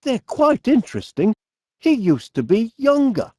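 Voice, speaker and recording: An actor playing a fussy, cheerful, absent-minded middle-aged inventor with a Northern English accent, speaking in a bumbling, polite way for an animated comedy game. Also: male voice, extremely high pitch, measured pace, breathy edge, no room sound, clean studio sound, full character voice. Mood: chatty, mildly fretful. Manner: bumbling, hesitant, polite, chatty.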